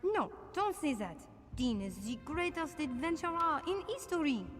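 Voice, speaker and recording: Give me an eager young French fan with a French accent, slightly high, with a long reverb. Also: female voice, very animated, moderate pace, fairly bright tone, soft background music. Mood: earnest. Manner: broken formal English, eager. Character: cheerful young French woman, adoring fan. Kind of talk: video game dialogue.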